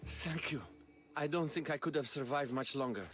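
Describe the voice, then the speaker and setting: Man playing earnest, rattled actor, slightly high voice. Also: moderate pace, fairly bright tone, faint music behind, close to an everyday voice. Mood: shaken. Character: anxious, rattled stage actor craving godlike confidence. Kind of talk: video game cutscene acting.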